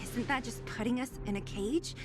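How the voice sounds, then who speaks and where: A woman voicing a cynical, sharp-tongued young woman in a video game, blunt and scornful. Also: on the high side, moderate pace, close to an everyday voice. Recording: game audio with loud music.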